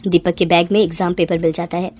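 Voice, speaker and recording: A woman's voice: lowish voice, flat delivery, fast, a little mellow. Woman narrating a story over music. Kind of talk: scripted dramatic moral-story narration.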